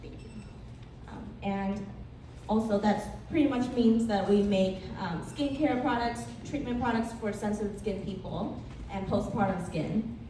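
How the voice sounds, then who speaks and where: A woman's voice: mid-range voice, a little slow, dark tone. A woman from Vietnam, introducing herself as a founder on a live panel. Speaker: company founder, panel guest. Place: indoor department store event. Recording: very echoey event room, distant mic, faint noise.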